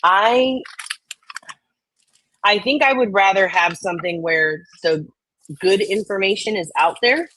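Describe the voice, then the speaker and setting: A woman's voice: medium pitch, unhurried, long pauses, a bit bright, no echo, low-quality recording. A woman co-host sharing opinions in a casual, earnest conversational way.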